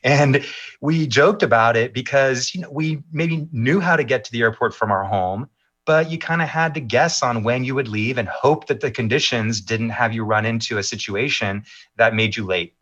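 A middle-aged man answering interview questions as a maps executive, in measured, polished talking points with occasional fillers. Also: mid-range voice, a bit bright, dull audio.

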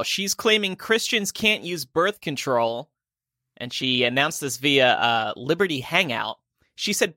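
A man's voice: on the high side, bright, forceful tone, no echo, studio quality. A man co-hosting a comedy podcast, presenting news stories and commenting on them.

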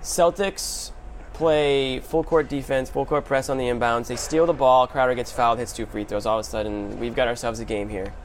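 A man's voice: medium pitch, steady tone, moderate pace. Male sports host with faint background noise. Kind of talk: casual basketball recap commentary.

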